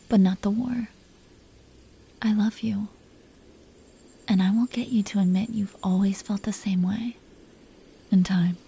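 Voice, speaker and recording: A woman playing a possessive, proud but secretly tender dragon queen in an intimate roleplay audio drama, breathy and teasing. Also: medium pitch, warm tone. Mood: tender, reluctant, then proud, defensive. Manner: breathy, teasing, haughty, lingering delivery.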